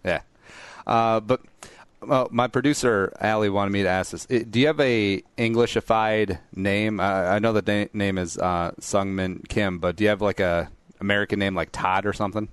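A man's voice: fairly low pitch, fairly even intonation, moderate pace, clear, resonant tone, no room sound. A man hosting a podcast, joking and rambling in a loose, self-deprecating chat. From Korea, raised in southeast Minnesota. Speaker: podcast host. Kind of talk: casual interview chat.

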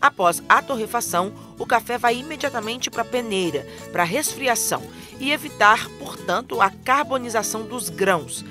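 A woman reading a scripted instructional voice-over in a clear, even manner. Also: on the high side, moderate pace, few pauses. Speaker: narrator. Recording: music under the voice.

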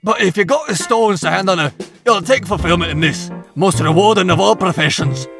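A man with a Scottish accent playing a rough, proud, foul-mouthed cartoon demolition man. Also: high-pitched, brisk, over music. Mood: gruff pride. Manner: rough, boastful.

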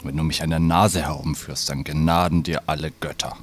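Guy playing grim proud druid, super deep gruff voice, bossy, music under it, fantasy audio play. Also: steady tone, normal speed. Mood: suspicious, annoyed. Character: bearded druid man, grim, proud, distrustful.

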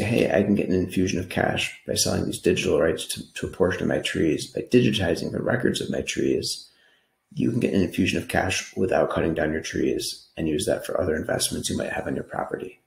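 An American man from New York giving an earnest, explanatory slide presentation pitch in an echoing room. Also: creaky. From New York, United States. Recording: roomy, echoing voice recording.

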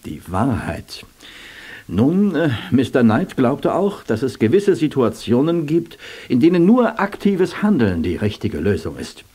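An actor playing a prim, formal older gentleman administrator in a radio play, precise and somewhat pompous. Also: mid-range voice, huge pitch swings, unhurried, breathy edge, heavily acted. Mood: reluctant, conceding.

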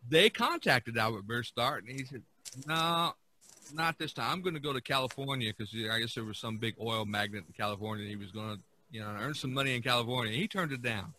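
A man with a bright, forward voice at an ordinary pitch hosts a relaxed podcast discussion. He tells stories with enthusiasm, often tripping over a word and starting again. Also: a little fast, slight background noise. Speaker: podcast host. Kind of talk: casual art history discussion.

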